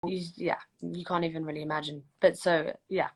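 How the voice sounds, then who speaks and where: A young woman with a slightly low voice talks into her phone on a casual livestream. She makes an earnest, rambling appeal, circling back over her words as she goes. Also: little pitch movement, a little fast, slightly rough. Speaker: livestream host.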